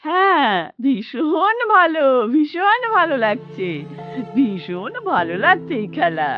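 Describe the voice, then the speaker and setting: A frail-minded elderly mother from a radio play, pining for her son like a lost child. Her voice is high and swoops widely as she slowly repeats herself in a sing-song way. Soft music plays behind her. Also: big pitch swings, no room sound, exaggerated voice. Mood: childlike delight. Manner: rambling, repetitive, sing-song. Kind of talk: Bengali radio drama acting. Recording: dull lo-fi audio with music behind.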